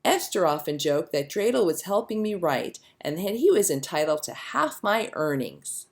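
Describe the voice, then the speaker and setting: A woman reading a children's story aloud as a narrator, with expressive storytelling delivery. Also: on the low side, normal speed. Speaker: storybook reader.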